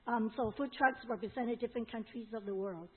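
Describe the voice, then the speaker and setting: A woman's voice: a little high, a little fast. A woman with a Hong Kong accent interpreting a sermon, relaying each phrase with fillers and restarts. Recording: tinny phone-like sound.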